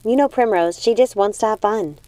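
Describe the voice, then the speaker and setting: A calm, pale young storybook witch, read by a woman, playing peacemaker. Her mellow voice is lifted a little and reassuring, in a dry, close recording. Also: medium pitch, brisk, no room sound, pitched above the performer's own voice, close to an everyday voice. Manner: reassuring, reasoning.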